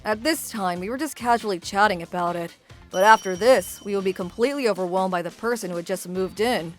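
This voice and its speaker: A woman's voice: mid-range voice, a little fast, lightly acted. A woman voicing a friendly, ordinary young wife who tells her story expressively in a manga dub, over background music. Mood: storytelling. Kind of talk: scripted manga dub narration.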